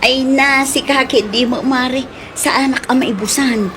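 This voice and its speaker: A woman's voice: sits high, fairly even intonation, measured pace, a bit bright, slight background noise. A woman voice-acting a chatty, exclamatory character in a comedy drama, with a Filipino accent. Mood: coaxing, giggly.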